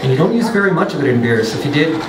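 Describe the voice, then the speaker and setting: A fairly high-voiced man gives a loose, joking lecture in a pub. He sits back from the microphone and the room rings around him. Loud bar noise runs steadily underneath. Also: sing-song, rapid-fire, far from the mic. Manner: loose and chatty, joking asides, frequent restarts. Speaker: brewer giving a science talk. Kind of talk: casual lecture to a pub audience. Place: pub with an audience. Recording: roomy, off-mic, loud background.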